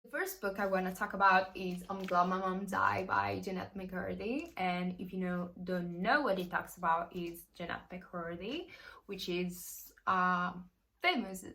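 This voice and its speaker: A young woman with an Italian accent, a native Italian speaker, reviewing the books she read in a casual vlog and often pausing to search for English words. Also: fairly low pitch, bright, forceful tone. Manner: hesitant, searching for English words, many fillers. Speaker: book vlogger.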